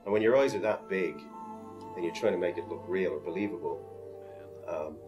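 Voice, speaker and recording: A man's voice: deep voice, brisk, lo-fi sound, music in the background. A man giving a behind-the-scenes interview as a member of an animation team.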